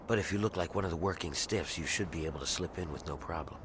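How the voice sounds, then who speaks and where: A low-pitched, gruff game character, played by a man as an embittered slave plotting revolt. He talks in a conspiratorial, matter-of-fact way, with clear ambient noise behind him. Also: quick pace, lightly acted. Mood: grim, determined. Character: grim embittered rebel slave man scheming for freedom. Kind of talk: video game character dialogue.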